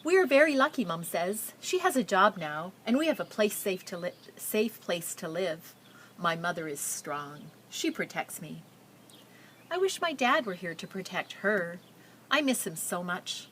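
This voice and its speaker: A woman reading a picture book aloud, telling the story and pausing with simple explanatory asides for young listeners. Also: mid-range voice, big pitch swings, a bit bright, a little hiss or hum.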